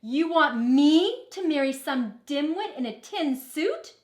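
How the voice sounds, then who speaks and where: A proud, defiant young princess knight from a storybook, acted out by a woman reading aloud. She speaks emphatically and indignantly, with highly animated pitch. The sound is echoey and roomy. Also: slow pace. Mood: outraged. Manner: emphatic, exclamatory. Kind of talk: picture book read-aloud character voice.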